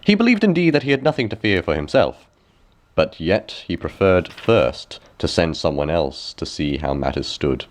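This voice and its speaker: Deep-voiced, animated male storyteller. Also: normal speed. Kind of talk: expressive scripted fairy-tale narration.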